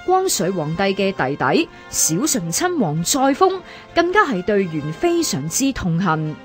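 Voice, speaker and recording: Female radio history narrator, Hong Kong Cantonese. Formal read delivery, mid pitch with plenty of melody. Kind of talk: scripted narration.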